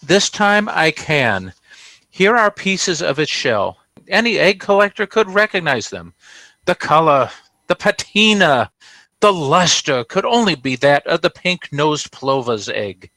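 An actor playing a pompous, flustered dealer in an old radio comedy, speaking in florid, formal phrases. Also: male voice, fairly high pitch, big pitch swings, measured pace, sharp tone, dry recording, full character voice. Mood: pompous, aggrieved. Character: pompous, flustered male trophy dealer defending his goods. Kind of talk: 1940s radio comedy acting.

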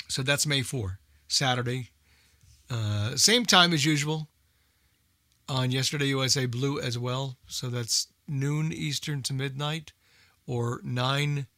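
A man's voice: medium pitch, pushed and bright, no echo, clean studio sound. A man hosting a radio show, giving casual, rambling unscripted announcements in an informal way.

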